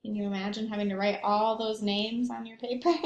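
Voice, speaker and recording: A woman's voice: medium pitch, steady tone, a little fast, highs cut off. A woman school librarian doing a read-aloud for young children, explaining things and putting questions to them. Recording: echoey room.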